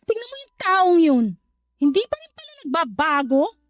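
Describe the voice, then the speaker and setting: A woman's voice: very high voice, very animated, normal speed. An actress playing a feisty, exasperated young single mother in a radio drama, sassy and outspoken. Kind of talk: Tagalog radio drama acting.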